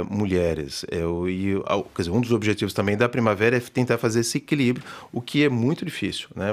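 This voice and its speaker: Hesitant low-voiced man in an interview. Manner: measured, many hesitations and restarts. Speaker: literature professor and festival organizer. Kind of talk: radio interview answers.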